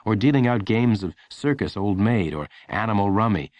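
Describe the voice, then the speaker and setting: Male narrator for a children's book: low register, lively intonation, measured delivery, dry studio sound. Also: dry recording. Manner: expressive, measured storytelling. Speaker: audiobook narrator. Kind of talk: scripted children's story narration.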